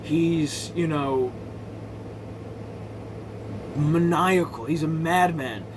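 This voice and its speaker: Guy with a slightly high voice ranting casually about movies, swears, really emphatic, noisy hum behind him. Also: very slow. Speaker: amateur movie reviewer. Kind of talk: casual rambling movie commentary.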